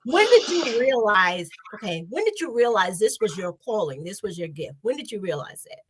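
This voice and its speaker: A woman's voice: medium pitch, very animated, normal speed, breathy edge. A woman hosting an interview, affirming and encouraging her guest. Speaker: host. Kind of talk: conversational interview.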